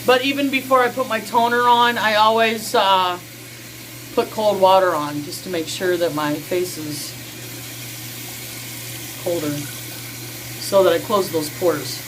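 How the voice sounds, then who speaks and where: A woman's voice: mid-range voice, fairly even intonation, measured pace, pauses often, fairly bright tone, a little hiss or hum, rough recording. A woman in her early forties chatting casually through a skincare routine in a small home bathroom, folksy and full of fillers, on a close built-in camera mic. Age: 40s. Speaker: skincare vlogger. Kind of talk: casual how-to chat.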